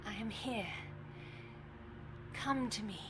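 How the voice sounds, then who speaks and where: High-voiced, fragile young singer. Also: female voice, takes it slowly, background noise. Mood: entranced. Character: devout, fragile young female singer longing for her father. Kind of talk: full-cast audio drama acting. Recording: loud string music, distant voice.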